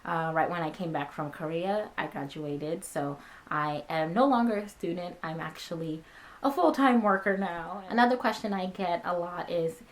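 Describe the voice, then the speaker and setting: A young woman in her twenties talks casually to her viewers, answering their common questions. Her voice sits a little low for a woman, and she speaks in a relaxed, friendly way, filling the pauses with ums and uhs. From born in Ghana, raised in America. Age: 20s. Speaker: vlogger. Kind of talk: casual talking-head Q&A.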